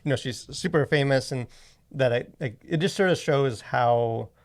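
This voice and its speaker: A male podcast host chats casually in a medium-pitched voice with noticeable vocal fry. His delivery rambles and stops and starts, padded with plenty of filler words as he works through each thought. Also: moderate pace.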